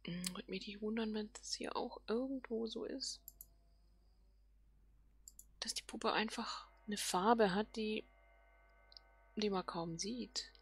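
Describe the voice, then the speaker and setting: Slow, breathy, murmuring woman gamer. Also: mid-range voice. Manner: soft, half-whispered musing to herself. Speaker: let's player. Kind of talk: casual murmured game commentary.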